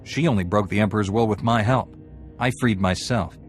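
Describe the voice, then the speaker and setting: A man voices a defiant warrior hero in a space fantasy game. He sounds blunt and assertive in a lowish voice, with music underneath. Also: brisk, natural voice. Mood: hostile, determined. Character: defiant, hot-tempered Jedi warrior bent on vengeance.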